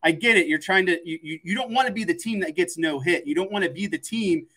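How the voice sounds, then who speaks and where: Male sports panelist, slightly high voice, conversational analysis with repeated words for emphasis. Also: fast, pushed and bright. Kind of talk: casual sports commentary.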